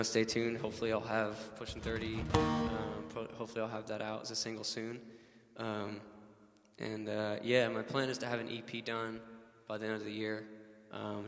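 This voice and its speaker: A man's voice: lowish voice, creaky, fairly bright tone, long reverb tail. A man in his late twenties, a singer-songwriter guest, chatting in a laid-back way, full of fillers and "man" and "dude". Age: late 20s. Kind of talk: casual chat.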